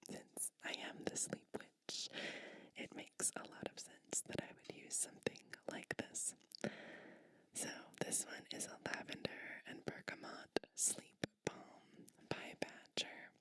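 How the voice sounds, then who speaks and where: Woman as a slow whispering sleep witch. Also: low-quality recording. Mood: gentle, soothing. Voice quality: soft, breathy whisper. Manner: slow, soothing, gently attentive. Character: kindly sleep witch giving bedtime pampering. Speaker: ASMR host. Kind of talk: whispered ASMR roleplay.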